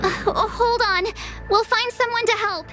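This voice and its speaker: A woman's voice: extremely high pitch, steady tone, moderate pace, bright, forceful tone. A woman voicing a spirited, helpful young girl adventurer in a video game. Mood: concerned. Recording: music under the voice.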